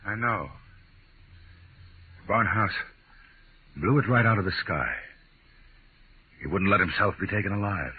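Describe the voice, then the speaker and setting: An actor playing a grimly determined, commanding army major in a dramatic 1950s radio drama. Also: male voice, lowish voice, expressive, measured pace, long pauses. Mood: defeated, bitter. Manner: barking, dramatic military delivery. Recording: very noisy, phone-like 1950s radio recording.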